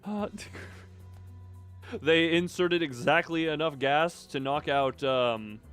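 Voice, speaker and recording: A man's voice: fairly high pitch, fairly even intonation, a little slow, fairly bright tone, smooth, soft background music. A man livestreaming a game, reading dialogue aloud dramatically and breaking off into jokey asides. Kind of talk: animated game commentary mixed with dramatic read-aloud.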